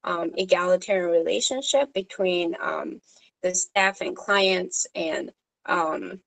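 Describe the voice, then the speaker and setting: Slow, hesitant woman. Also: on the low side, creaky, a bit bright, rough recording. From Korea. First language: Korean. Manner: hesitant, many fillers and restarts. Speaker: social worker. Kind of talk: supervision meeting conversation.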